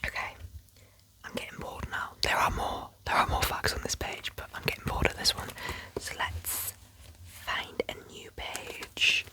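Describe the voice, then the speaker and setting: A woman reads a fact book aloud in a close, breathy ASMR whisper. She lingers on repeated words and slips in chatty, mildly sarcastic comments. A stuffy, sniffly nose from a cold or hay fever comes through now and then. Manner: soft whisper, repeats words slowly for trigger effect; chatty asides, lightly sarcastic. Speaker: ASMR creator.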